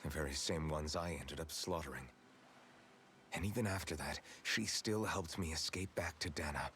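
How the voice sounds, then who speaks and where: A guilt-ridden young warrior from a fantasy game, with a very low voice. He speaks earnestly and solemnly, in measured, brooding phrases. Clear ambient noise sits behind him. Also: a bit bright. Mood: guilty, solemn. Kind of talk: dramatic video game voice acting.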